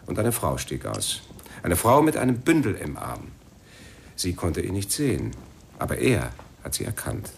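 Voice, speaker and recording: Police sergeant: low pitch, strongly modulated, formal deliberate report, radio crime play. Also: male voice, huge pitch swings, vocal fry, a little hiss or hum, full character voice. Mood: factual, grave. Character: matter-of-fact male police sergeant reciting a statement. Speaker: actor in a radio crime drama.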